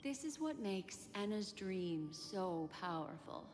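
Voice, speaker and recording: A woman voice actor playing a poised, mysterious memory keeper in a video game, calm and courteous. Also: medium pitch, takes it slowly, a little hiss or hum. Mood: calm, measured. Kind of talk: scripted video game voice acting. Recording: reverberant game audio over music.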